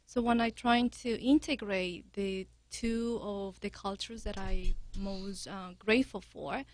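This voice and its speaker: A woman from Peru with a Peruvian Spanish accent, talking earnestly as a yoga studio director, with many halting restarts. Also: mid-range voice, steady tone, no echo. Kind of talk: casual interview chat.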